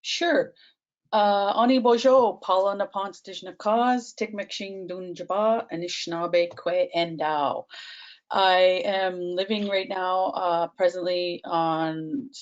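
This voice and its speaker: A woman panel guest introducing herself formally, in a measured way. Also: on the low side, fairly even intonation, muffled recording. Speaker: panel guest, clothing business owner.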